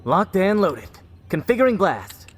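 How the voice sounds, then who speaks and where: An actor playing a self-assured, slightly awkward scientist in a video game, brainy and a bit boastful. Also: male voice, sits high, very animated, unhurried, a little hiss or hum. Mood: eager. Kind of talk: video game cutscene acting.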